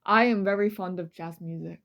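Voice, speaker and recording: A woman's voice: lowish voice, steady tone, fairly quick, creaky, highs cut off. A woman co-hosting a true crime podcast, switching between dramatic reading and casual, irreverent banter. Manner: playful, irreverent, swears casually.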